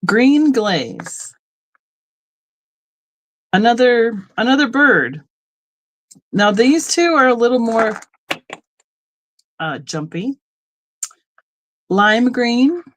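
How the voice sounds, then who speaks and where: A woman's voice: on the high side, big pitch swings, drawn-out words, long pauses, poor audio. A middle-aged woman from Colorado hosting a live online sale, chatting casually and rambling into asides with frequent ums. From Colorado, United States. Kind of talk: casual live-stream selling chat.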